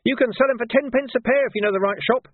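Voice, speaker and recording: A man voicing a scruffy, greedy street urchin boy with a high, whining cockney manner. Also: fast talker, no room sound. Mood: wheedling. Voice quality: high squeaky whine. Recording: muffled phone-like recording.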